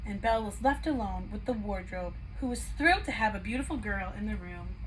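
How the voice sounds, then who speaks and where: A woman who teaches kindergarten prep, reading a storybook to young children in an expressive, animated way. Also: medium pitch, fairly quick, rough recording. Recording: clear background noise.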